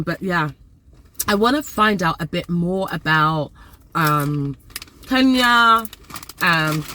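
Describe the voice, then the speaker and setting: A Nigerian-born woman in her forties, casually talking to camera in a chatty, animated way and repeating words for emphasis. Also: medium pitch. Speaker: vlogger.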